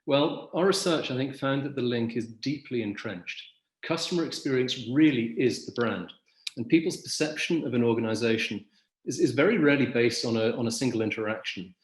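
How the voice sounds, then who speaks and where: A man hosting a business webinar, introducing the session and presenting research findings in a measured, articulate way. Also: medium pitch, reverberant. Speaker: webinar host.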